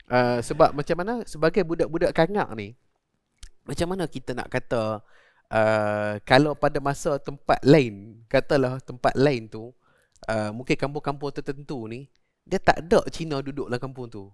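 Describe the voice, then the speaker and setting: A man hosting a relaxed conversation, explaining words at length and affirming his guest often. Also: medium pitch, normal speed, no echo, studio quality. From Malaysia. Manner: explanatory, chatty, frequent affirming interjections. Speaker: host. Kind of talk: conversational interview.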